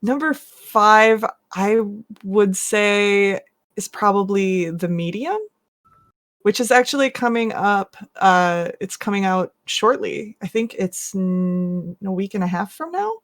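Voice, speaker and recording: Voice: mid-range voice, slow pace, fairly bright tone. A podcast guest chatting casually and a little hesitantly about video games. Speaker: podcast guest who plays games for an online audience.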